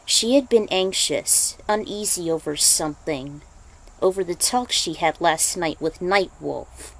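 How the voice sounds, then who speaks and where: A woman's voice: on the low side, unhurried, low-quality recording. A woman speaking as a podfic writer and reader, moving between casual introduction and story narration.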